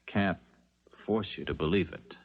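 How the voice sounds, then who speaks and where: An actor playing an uneasy young ex-convict in a 1970s radio drama, hesitant and plain-spoken. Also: male voice, deep voice, normal speed. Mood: nervous, deferential. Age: young adult. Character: uneasy young ex-convict drifter posing as fiancé. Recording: dull 1970s radio recording.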